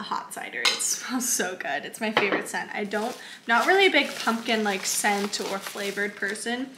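A woman's voice: on the high side, fairly bright tone, slight background noise. A woman in her twenties chatting casually through a shopping haul in her home kitchen, bubbly and full of "like" fillers. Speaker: lifestyle vlogger. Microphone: camera in front, medium close framing. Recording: echoey kitchen.

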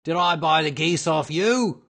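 A man's voice: mid-range voice, expressive, moderate pace, a bit bright, pitched above the performer's own voice. A man voicing a surly, hot-tempered market poultry seller in a storybook, blustering and combative. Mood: furious. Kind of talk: audiobook character voice.

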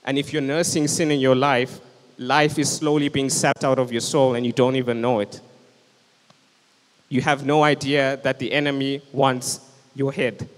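A man's voice: medium pitch, level tone, moderate pace. A young man preaching a sermon on a church stage, earnest and urgent, into a handheld microphone. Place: church stage with band instruments, indoors. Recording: long hall reverb.

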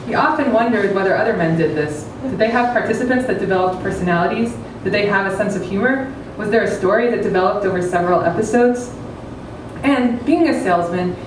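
Female literary reader, dramatic narration, heard from afar with clear background noise and heavy echo. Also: medium pitch. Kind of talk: dramatic reading of a comic novel. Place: stage with curtains before an audience. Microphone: microphone on a table stand. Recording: very echoey hall, distant, clearly noisy.

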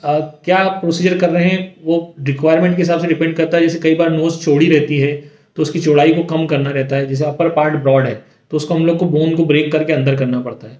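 A man in his thirties, a plastic surgeon, calmly explaining to the camera with frequent pauses and fillers. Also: on the high side, reverberant, dull audio. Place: indoor room. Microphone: camera at close range.

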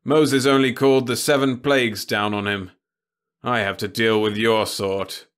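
A man's voice: medium pitch, level tone, a little slow, a bit bright, clean tone, natural voice. A man voicing a tired, contemptuous middle-aged male lab director who speaks curtly and coldly. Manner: curt, cold.

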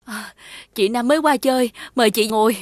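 A woman voicing a gentle, patient, wronged village widow and mother in a fairy-tale cartoon. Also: a little high, fairly quick, no room sound, clean studio sound, close to an everyday voice. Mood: polite.

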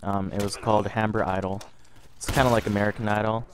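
Young man, a bit under the weather, casual rambling storyteller over game footage, low-pitched. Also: fairly even intonation, fast, noisy background. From Canada. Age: young adult. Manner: rambling, repeats words and restarts often. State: a little sick. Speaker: gaming vlogger.

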